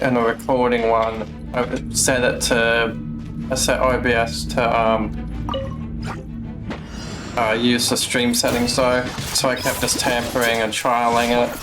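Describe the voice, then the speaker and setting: A man giving casual livestream gameplay commentary in a halting way, muttering to himself. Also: mid-range voice. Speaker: live game streamer. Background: game music.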